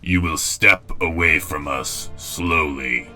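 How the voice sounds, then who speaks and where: Grim, commanding robot warlord, extremely low voice, deliberate and proud, music underneath. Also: male voice, sharp tone, full character voice. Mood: cold, menacing. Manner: formal, stern, deliberate phrasing. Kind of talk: dramatic comic dub acting. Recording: music behind the voice.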